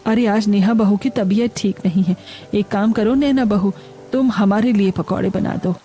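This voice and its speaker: A woman's voice: mid-range voice, normal speed, dark tone, lightly acted. A woman voicing a stern, protective middle-aged mother-in-law in a cartoon story, firm and restrained.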